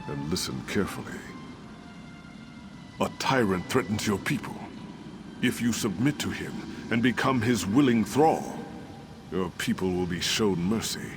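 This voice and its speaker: An actor playing an ancient, wise and haunted warrior spirit in a video game, speaking in a grave, measured, formal way. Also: male voice, sits low. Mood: solemn, testing. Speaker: game character posing moral tests. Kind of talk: scripted video game voice acting. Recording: music under the voice.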